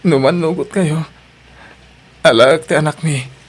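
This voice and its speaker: A man's voice: a little high, unhurried. A man acting an earnest, dutiful young husband and student in an audio drama, with a pleading way of talking. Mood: hurt, pleading.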